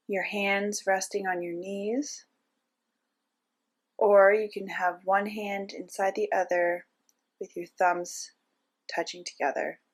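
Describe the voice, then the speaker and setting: A woman narrating a guided meditation as a teacher, giving gentle step-by-step instructions with frequent pauses. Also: medium pitch.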